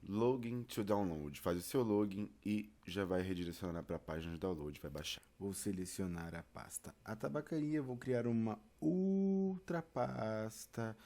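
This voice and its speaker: A man's voice: on the low side, expressive, unhurried, few pauses, dark tone. A man with a Brazilian accent presents a step-by-step tutorial in an informal, chatty way, speaking directly to the listener. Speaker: theatre and audio tutorial presenter.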